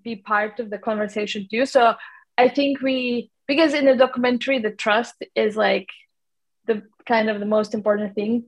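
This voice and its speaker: A woman's voice: on the high side, rough recording. A woman from Latvia with a Latvian accent, a documentary producer answering interview questions in a rambling way with many fillers and restarts.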